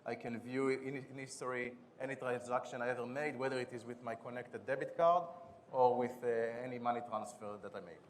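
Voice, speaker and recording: Israeli-accented man giving a fast startup pitch. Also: steady tone. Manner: quick, businesslike delivery with restarts. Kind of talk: conference pitch and product demo. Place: conference stage.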